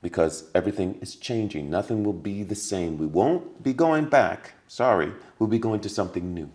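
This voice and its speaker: A man's voice: on the low side, expressive, normal speed, darkish tone. A man talking as a podcast guest, telling stories earnestly with many restarts and repetitions. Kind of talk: casual conversation.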